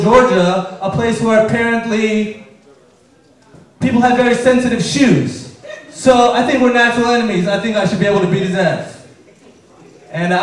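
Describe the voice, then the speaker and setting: A young man with a high voice doing stand-up, telling deadpan stories and slipping into the people he describes. His voice is heard from a distance on a live stage mic. The room is very echoey. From Afghanistan. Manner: deadpan storytelling, acting out characters in quotes. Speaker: stand-up comedian. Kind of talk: stand-up comedy set. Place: comedy club stage.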